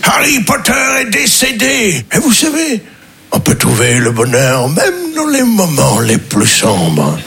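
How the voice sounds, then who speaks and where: A man's voice: fairly high pitch, wildly swooping pitch, measured pace, a bit bright, soft background music, full character voice. A male comedian voicing a solemn old wizard headmaster in a stand-up bit, on a noisy live recording. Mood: solemn, grandiose.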